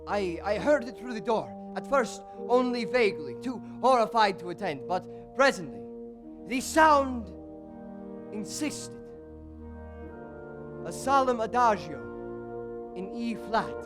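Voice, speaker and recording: A man's voice: high-pitched, big pitch swings, over music. A male actor playing a proud, envious court composer in a formal, theatrical stage monologue. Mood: awed, troubled. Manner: formal, grandiose, deliberate with dramatic pauses.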